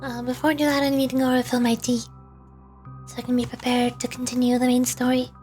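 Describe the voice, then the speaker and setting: A woman's voice: high-pitched, monotone, fast, a little mellow, faint music behind. A woman VTuber on a game stream, chatting casually and reading the narration aloud.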